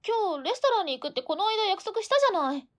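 A woman as a pert young girl in a radio drama, her voice high. She speaks informally, with a nagging, sulky edge toward the men she lives with. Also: animated. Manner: informal, nagging, quick to sulk. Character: pert, sulky girl who keeps house with two men. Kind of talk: Japanese audio drama acting.

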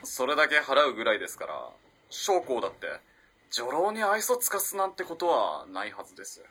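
A man's voice: mid-range voice, big pitch swings, a little fast, bright, forceful tone, slightly rough, heavily acted. A man playing a curious, polite young student in a radio drama. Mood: musing, eager. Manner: polite, inquisitive.

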